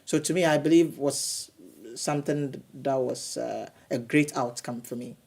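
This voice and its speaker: A man's voice: mid-range voice, unhurried, creaky, darkish tone, a little hiss or hum. A man who is a city councillor, answering interview questions hesitantly, often repeating words.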